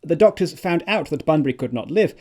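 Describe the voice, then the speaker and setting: Man playing a glib, high-voiced young gentleman. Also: expressive, brisk. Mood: flustered bluffing. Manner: glib, flustered bluffing. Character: flippant, evasive young gentleman nephew. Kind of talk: theatrical stage comedy acting.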